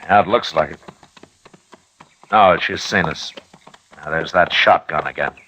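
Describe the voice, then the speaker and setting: Deep-voiced, laconic frontier marshal. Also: male voice, bright, forceful tone, slight background noise, exaggerated voice, rough recording. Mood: calm, wary. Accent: American. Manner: laconic, measured. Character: steady, laconic frontier marshal, patient and firm. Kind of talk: 1950s radio western acting with narration.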